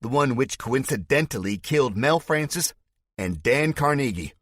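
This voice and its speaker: A man voicing an unstable male militia leader with a fake southern drawl, making loud, theatrical speeches to a crowd. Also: medium pitch, expressive, moderate pace, no echo, pitched above the performer's own voice. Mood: sermonizing.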